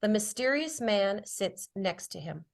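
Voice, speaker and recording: A woman's voice: medium pitch, lively intonation, measured pace, creaky. A woman reading stage directions as scripted narration at a drama table read.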